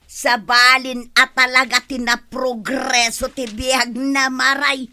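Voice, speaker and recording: A woman's voice: high voice, little pitch movement, slow pace, pushed and bright, heavily acted. A woman playing a nosy, cheeky village woman in an Ilocano comedy drama, exclaiming in an exaggerated way. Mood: complaining.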